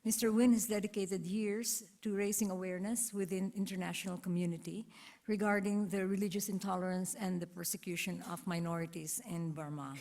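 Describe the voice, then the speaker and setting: A woman's voice: medium pitch, steady tone, fairly quick, a little mellow. A woman giving a formal introduction of a guest speaker at a conference, mostly reading from prepared notes. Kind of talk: formal scripted introduction with some ad-libs. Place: conference auditorium.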